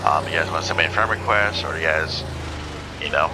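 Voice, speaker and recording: A man with a very low voice talks loosely through a racing game, circling back on his own sentences and filling gaps with ums. Loud car engines roar the whole time under his words. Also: fairly quick, heavy background noise. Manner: rambling, chatty, many ums and restarts. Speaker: gaming channel host. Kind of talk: casual gameplay commentary.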